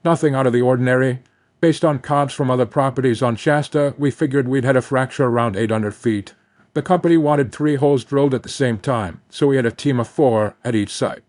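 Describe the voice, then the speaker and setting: An actor playing a plain-spoken drilling contractor giving first-person testimony in a horror tape. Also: male voice, medium pitch, a little fast, darkish tone, no echo, studio quality, close to an everyday voice. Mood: matter-of-fact.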